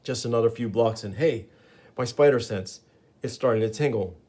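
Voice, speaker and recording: A man's voice: medium pitch, normal speed, dark tone, lightly acted. A man voicing a young male superhero who talks in breezy, sarcastic quips. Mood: wry.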